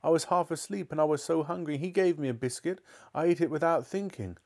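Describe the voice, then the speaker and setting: A man's voice: a little high, a little fast, vocal fry, a little mellow, pitched above the performer's own voice. A man voicing a guilty, hungry boy pleading with his mother in a story reading.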